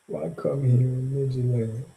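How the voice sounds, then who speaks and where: A man hosting a casual livestream, reacting to viewer comments in an animated, slangy and mocking way. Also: medium pitch, measured pace, creaky, rough recording. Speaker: streamer reacting to viewer chat.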